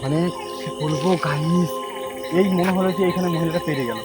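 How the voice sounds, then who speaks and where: Male night-vlog host, slightly high voice, uneasy and repetitive, loud music and insects behind. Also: brisk. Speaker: vlogger presenting to viewers. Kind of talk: outdoor ghost-hunting vlog talk, addressing friends and camera. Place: outdoors at night on a village path. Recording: loud music over outdoor night recording. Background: loud music, chirping night insects.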